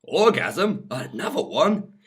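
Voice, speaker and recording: Casting: wide-eyed young heroine, played by a man, pitched up, startled and questioning, husky edge. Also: big pitch swings, slow, exaggerated voice. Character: naive, wide-eyed young woman narrator's spoken lines.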